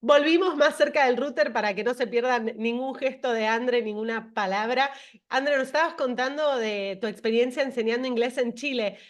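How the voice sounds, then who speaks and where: A woman hosting an online interview, friendly and quick with agreeing sounds. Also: fairly high pitch. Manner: friendly, frequent backchannel, laughs often. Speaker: interview host. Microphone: video call.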